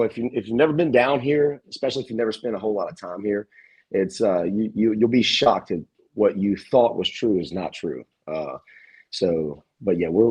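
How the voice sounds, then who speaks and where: Deep, husky-voiced man from Louisiana. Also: mellow, muffled recording. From South Louisiana. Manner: easygoing, halting with fillers. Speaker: gym owner guest. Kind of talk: casual conversation.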